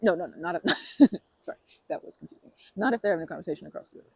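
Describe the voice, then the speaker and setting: A woman's voice: on the low side, lively intonation, very fast, vocal fry, breathy edge, dry recording. A woman in an ASMR roleplay who talks to the listener in a rambling, bashful and playful way, full of fillers and restarts. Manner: playful and earnest, laughing softly.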